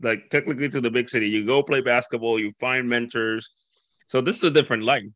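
A man's voice: mid-range voice, fast, fairly bright tone, no room sound. A man from the Dominican Republic hosting a casual interview, talking in loose run-on thoughts and acting out quoted voices. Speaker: podcast host.

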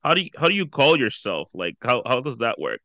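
A man hosting an interview, relaxed and casual, with frequent stumbling restarts. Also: medium pitch, fairly quick, no echo. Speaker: podcast host.

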